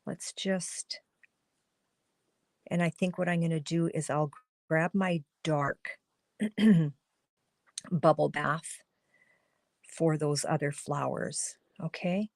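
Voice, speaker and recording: Slow-talking gravelly-voiced woman crafter. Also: sits low, a little mellow, no room sound. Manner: chatty, thinks aloud while coloring, greets viewers by name. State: slightly hoarse, froggy voice. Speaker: card-making live stream host. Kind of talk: casual live crafting demo talking to viewers.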